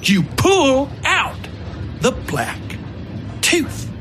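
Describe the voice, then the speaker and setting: A man's voice: very high voice, wildly swooping pitch, extremely slow, music in the background, voice pushed up, full character voice. A man voicing a cruel, scheming gunman with coarse, emphatic rustic speech in an audio horror story. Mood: coaxing, emphatic.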